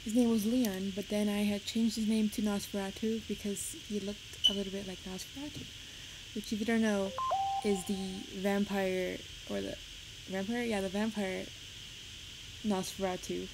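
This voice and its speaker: A young woman with a Puerto Rican accent chatting on a livestream, talking fast and rambling nervously. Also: medium pitch, level tone. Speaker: live streamer. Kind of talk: rambling casual chat on a livestream. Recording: noisy home stream audio.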